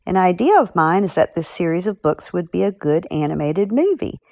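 A woman's voice: on the low side, sing-song, fairly quick, vocal fry, mellow, no echo. A woman speaking as an author in a podcast segment, chatting and reading out letters.

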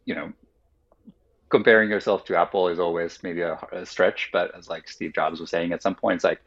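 A man's voice: sits low, steady tone, brisk, fairly bright tone, dull audio. A man whose first language is Finnish, a guest in a casual interview, explaining his ideas thoughtfully and at length with lots of "like"s. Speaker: guest, company founder.

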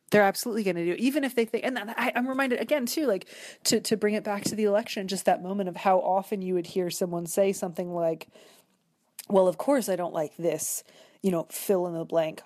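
A woman with a low-pitched voice talks as a guest in a conversational interview. She is reflective and hesitant, building long sentences and revising them as she goes. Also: darkish tone. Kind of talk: conversational interview, literary discussion.

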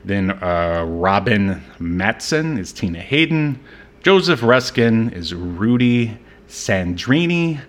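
A man hosting a podcast in casual movie-review banter, talking in a halting, joking, conversational way. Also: lowish voice, big pitch swings, a bit bright. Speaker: podcast host.

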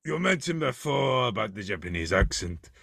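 Podcast host, low gravelly bright voice, deadpan, dry close sound. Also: male voice, moderate pace, no room sound. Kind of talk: casual interview.

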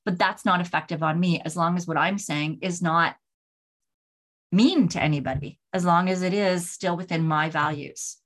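Candid woman in her forties, lowish voice. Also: moderate pace. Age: 40s. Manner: candid, rambling, restarts and fillers. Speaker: podcast host. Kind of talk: casual solo podcast talk.

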